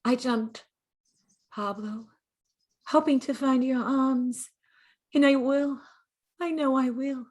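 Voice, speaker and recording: Casting: actress as gentle dead mistress, high-pitched, wistful, halting, intimate radio-play monologue of remembered love. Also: female voice. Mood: yearning. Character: dead gentle lovelorn woman, artist's former lover, remembering. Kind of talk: dramatic monologue in an audio play reading.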